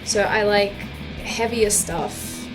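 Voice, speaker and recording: A woman's voice: medium pitch, takes it slowly, over music, low-quality recording. A woman in her twenties chatting casually in a video, answering viewer questions with frequent likes and ums. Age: 20s. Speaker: YouTube musician. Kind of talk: casual Q and A vlog.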